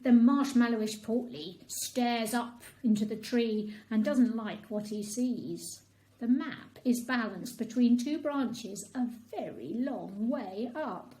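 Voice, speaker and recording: A woman narrating a children's bedtime story in an expressive storytelling style. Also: on the high side, slow pace, rough recording.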